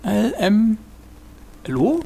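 A man's voice: high voice, huge pitch swings, drawn-out words, gravelly, crowd noise. A man co-hosting a podcast in casual banter, with a playful, teasing manner.

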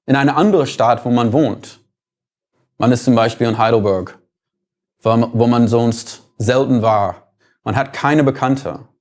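A man's voice: medium pitch, darkish tone. A man from the United States with an American accent, giving an explanatory lecture, thoughtful and sometimes searching for words.

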